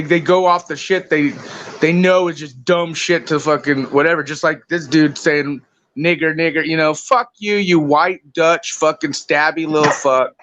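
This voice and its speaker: A man on a live stream who mocks others and swears constantly. His voice sits fairly high. Faint noise runs under it. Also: fairly bright tone, dull audio. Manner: mocking, profane. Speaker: debate participant.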